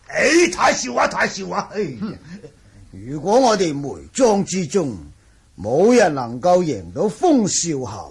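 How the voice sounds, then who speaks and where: A proud, hearty wuxia drinker in an old Cantonese radio play, Hong Kong accented. The voice is gravelly and slow, with bluff, theatrical delivery. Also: male voice, high-pitched, wildly swooping pitch, full character voice. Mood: amused, incredulous. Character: hearty, proud wine-loving martial manor lord. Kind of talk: 1980 Cantonese radio drama acting.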